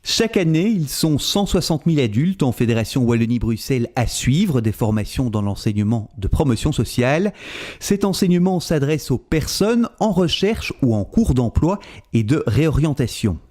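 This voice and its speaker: A man with a Belgian French accent giving measured, scripted documentary narration. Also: medium pitch, very animated, darkish tone. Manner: measured, explanatory.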